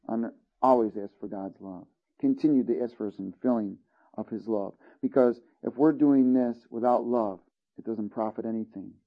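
A man's voice: medium pitch, muffled recording. A man preaching a sermon, reading scripture aloud and checking in with listeners as he teaches. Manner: earnest, calls out "Amen?" and "Hallelujah" to listeners.

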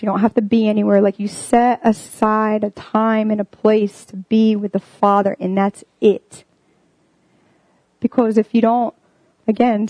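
Young female preacher, earnest and chatty, higher pitch, dry close recording. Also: mellow, no room sound, low-quality recording. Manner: earnest, full of "like" and "um" fillers. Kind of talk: casual sermon.

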